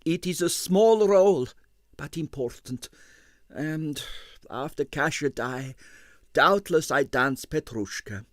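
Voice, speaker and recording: A man's voice: on the high side, expressive, slow, dry recording, studio quality, voice pushed up. A man voicing an earnest, proud ballet dancer in a storybook, talking in broken English. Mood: diffident pride.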